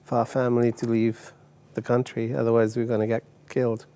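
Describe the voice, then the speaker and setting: A middle-aged man born in Uganda, recounting his life story as an interview guest, with halting speech full of fillers and restarts. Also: mid-range voice, monotone, warm tone. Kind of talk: personal testimony interview.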